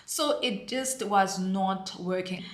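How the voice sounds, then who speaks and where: A woman's voice: mid-range voice, slow pace, roomy sound. A South African woman in her thirties, a homeschooling mother, chatting earnestly through a curriculum review with many fillers and restarts. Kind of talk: casual review to camera.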